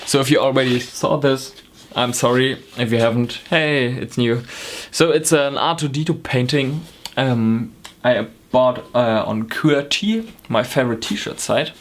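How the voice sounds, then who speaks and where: A man's voice: medium pitch, unhurried, few pauses, slight background noise. A young man from Germany with a German accent, chatting to camera in a rambling way, with many ums and self-corrections, from a small home room. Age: young adult. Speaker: knitting vlogger. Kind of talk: casual chat to camera. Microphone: camera in front, close framing.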